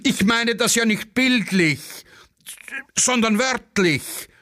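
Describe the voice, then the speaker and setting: A man playing a pompous, scolding schoolteacher who declaims deliberately in a satirical radio play. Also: extremely high pitch, bright, forceful tone, voice pushed up, heavily acted. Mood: lecturing. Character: pompous, scolding male schoolteacher in wartime Vienna.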